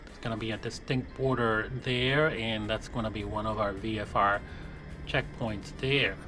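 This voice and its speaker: A man casually narrating his simulated flight, relaxed, with many fillers and restarts. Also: medium pitch. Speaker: flight sim streamer. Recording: music playing behind.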